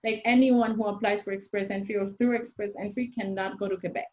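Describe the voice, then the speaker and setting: A woman's voice: mid-range voice, steady tone, brisk, a little mellow. A young adult woman, an immigration consultant, explaining the rules step by step with worked examples in an interview over a video call from a small room. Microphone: video call webcam. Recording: dull lo-fi video-call audio.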